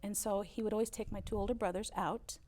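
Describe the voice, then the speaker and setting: A woman in her fifties, German her first language, recalls her family's history in an unhurried interview. She tells her stories at a middle pitch, pausing often on "uh" and warming up as each story goes on. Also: fairly even intonation. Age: 50s. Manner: storytelling, warm recollection with frequent "uh" fillers. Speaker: interview guest, business owner. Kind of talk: relaxed interview conversation.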